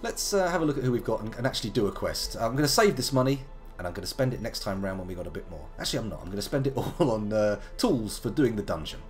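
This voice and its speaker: A man in his thirties talks his way through a live game stream, his voice gravelly from a slight cold. He chats playfully with lively swings in pitch, drifting into jokey asides. Faint game music sits behind him. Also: fast talker, few pauses. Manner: chatty, playful, wandering asides. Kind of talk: casual live game commentary.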